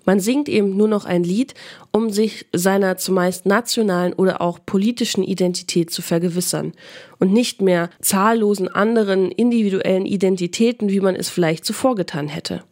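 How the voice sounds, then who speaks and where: A woman's voice: medium pitch, moderate pace, a little mellow. Woman narrating a scripted radio feature.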